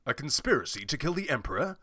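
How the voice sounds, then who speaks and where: A man playing a grateful imperial official in a slow, theatrical fantasy game audition read. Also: fairly high pitch, very animated, pushed and bright, no echo, soft background music, heavily acted. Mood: alarmed. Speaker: auditioning amateur voice actor.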